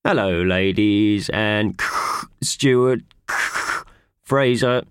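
A man voicing a loud train announcer in a children's storybook, declaiming drawn-out lists. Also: on the low side, clear, resonant tone, dry recording. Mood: droning announcement. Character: loud train announcer reeling off stops and snacks.

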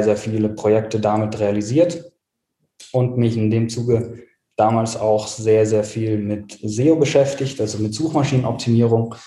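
A man's voice: on the low side, warm tone, reverberant. A man, answering interview questions steadily with many fillers. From South Tyrol, Italy. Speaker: podcast guest, agency founder.

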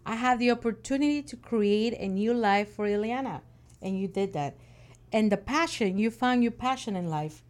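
A woman's voice: fairly high pitch, moderate pace, darkish tone. Upbeat woman hosting an interview show. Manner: upbeat, emphatic, many affirming fillers. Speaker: host.

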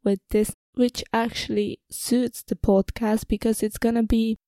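A woman's voice: a little high, level tone, unhurried, mellow, dry recording, clean studio sound. A young woman from the Republic, hosting a casual personal podcast in a slightly nervous, hesitant, informal manner. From Czech Republic, moved to Ireland at twelve. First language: Czech. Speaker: podcast host.

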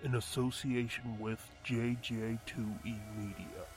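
A man's voice: mid-range voice, steady tone, unhurried. A man reading scripted trailer narration as a promo announcer. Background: music.

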